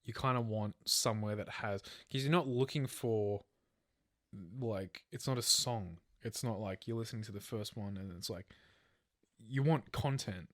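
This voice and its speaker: A man's voice: lowish voice, normal speed, fairly bright tone. A man with an Australian accent banters casually as a podcast co-host, informal and prone to swearing.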